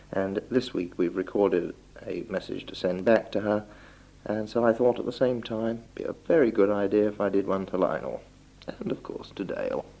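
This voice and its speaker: A young man from England talks in a deep, gravelly voice. He speaks informally but politely, pausing and choosing his words as he records a message for relatives. It sounds like a home reel-to-reel tape from the 1960s. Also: darkish tone, low-quality recording. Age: young adult. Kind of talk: spoken letter, informal monologue.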